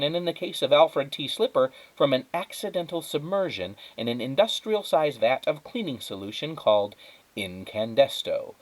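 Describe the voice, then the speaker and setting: Animated man narrating a storybook. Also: medium pitch, rough recording. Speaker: teacher reading aloud to pupils.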